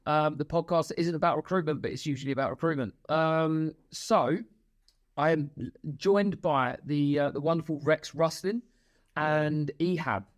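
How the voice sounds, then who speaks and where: A man hosting an interview podcast, upbeat and encouraging with quick interjections. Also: fairly high pitch. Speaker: podcast host.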